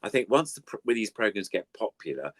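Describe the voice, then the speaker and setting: An older English man with a low, deep voice, recorded close and dry. He talks casually and at length, wandering through anecdotes like a guest looking back on his working life. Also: very animated, fast, no echo. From United Kingdom. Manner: chatty, anecdotal, rambling. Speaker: puppeteer, interview guest. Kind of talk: casual reminiscing chat.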